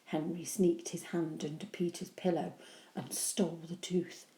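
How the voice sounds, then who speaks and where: A woman reading a children's story aloud with animated, deliberate, expressive narration. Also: fairly low pitch. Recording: echoey room.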